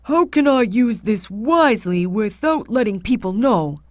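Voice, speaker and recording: A woman voicing a scheming, excitable husband who talks to himself in a children's storybook. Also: on the high side, measured pace, dry recording, clean studio sound. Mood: pondering. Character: worried, scheming husband who finds gold.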